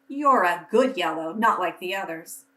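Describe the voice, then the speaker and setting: A woman's voice: fairly low pitch, lively intonation, normal speed, a bit bright, reverberant. A woman voicing a frightened, suspicious young male storybook character in an anxious, emphatic manner. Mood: grateful.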